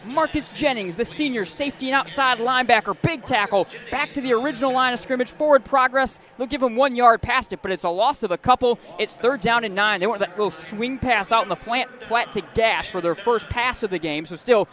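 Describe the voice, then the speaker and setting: A man's voice: extremely high pitch, brisk, hardly any pauses, pushed and bright. A man doing live radio play-by-play of a football game, describing the action fluently and in detail. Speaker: play-by-play sports announcer.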